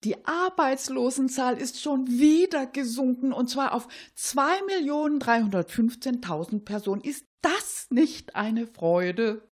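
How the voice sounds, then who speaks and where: A woman's voice: high-pitched, lively intonation, measured pace, hardly any pauses. A woman presenting a scripted, tongue-in-cheek radio show as host.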